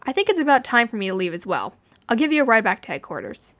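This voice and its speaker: A woman's voice: on the high side, big pitch swings, quick pace, a bit bright. Woman voicing a brash, wry male mafioso. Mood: matter-of-fact. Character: brash, gruffly fond red-haired male mafioso.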